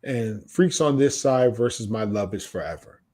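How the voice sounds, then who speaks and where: An American man in casual conversation as a podcast co-host. His pitch sits in an ordinary middle range. He tends to say a phrase, then say it again. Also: animated, normal speed, darkish tone. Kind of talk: casual music chat.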